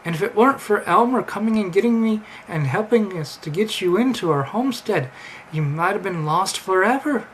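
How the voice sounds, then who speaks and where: Man putting on a high-pitched motherly farm wife. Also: moderate pace, a little hiss or hum, natural voice, rough recording. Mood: soothing, fussing.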